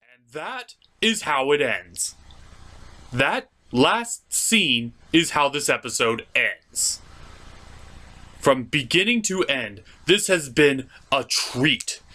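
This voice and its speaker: A man narrates a scripted comedic cartoon review as its host. His voice is bright and forceful, with very lively swings in pitch. The delivery is theatrical, jokey and emphatic. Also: slow.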